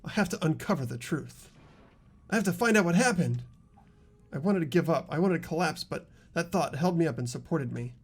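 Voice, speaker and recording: A man voicing an earnest, anguished teenage boy student investigating a murder, in dramatic halting monologue for a visual novel. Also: a little high, lively intonation, slightly rough. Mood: anguished, determined. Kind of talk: voiced visual novel reading.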